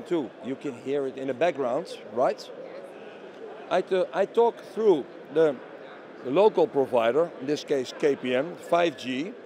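A man in a product interview speaks with a mellow, relaxed tone at a slightly raised pitch. He explains step by step, posing questions and answering them himself. A light bustle of an exhibition hall sits behind his voice. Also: slight background noise. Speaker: company representative being interviewed. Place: busy trade show hall booth. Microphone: handheld interview microphone held by interviewer.

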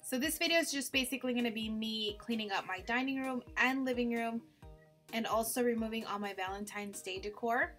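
A woman's voice: fairly high pitch, a little fast, music in the background. A woman vlogger narrating a casual home cleaning video in an informal, gushing way.

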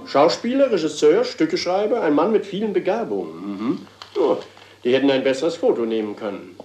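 An actor playing a middle-aged theatre director in a German radio crime drama, courtly, flowery and placating. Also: male voice, fairly high pitch, lively intonation, brisk, over music, natural voice. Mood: self-satisfied. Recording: roomy, reverberant radio play sound.

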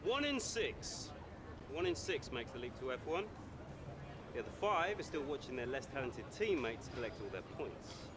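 A cocky young racing driver. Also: male voice, mid-range voice, sing-song, unhurried, crowd noise. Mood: taunting. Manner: boastful, needling.

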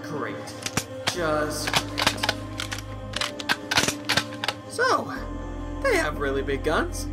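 An exasperated young man, played by an actor, serious but sarcastic, in a cartoon fan drama. His mid-range voice leaps dramatically in pitch, with music clearly underneath. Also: wildly swooping pitch, extremely slow, pauses often, heavily acted. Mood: grim, sarcastic. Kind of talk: animated fan audio drama acting. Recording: music behind the voice.

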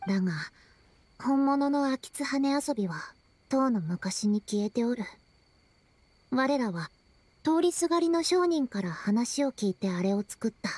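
A woman's voice: a little high, animated, unhurried, pauses often, darkish tone, no room sound. A woman voicing a solemn young youkai girl who speaks in a formal, archaic way, in an anime-style game. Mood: solemn, explaining. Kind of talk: Japanese anime-style game dialogue and narration.

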